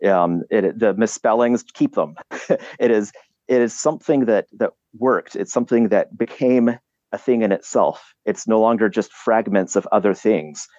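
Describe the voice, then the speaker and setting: A man speaking as a podcast guest, explaining ideas in a halting, reflective way with many restarts and fillers. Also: on the low side, a little mellow, no room sound, dull audio.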